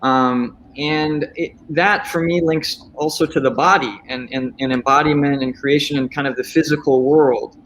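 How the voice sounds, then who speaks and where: A man's voice: fairly high pitch, steady tone, normal speed, few pauses, a bit bright, slight background noise, rough recording. A man moderating a discussion panel, asking a long question in a thoughtful, hesitant way with many restarts.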